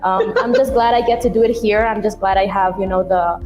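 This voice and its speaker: A woman's voice: medium pitch, steady tone, brisk, faint music behind. Young woman from Ecuador in an echoey room. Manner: warm, hesitant, many ums. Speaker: guest interviewee. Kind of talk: remote interview. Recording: roomy echo with long reverb.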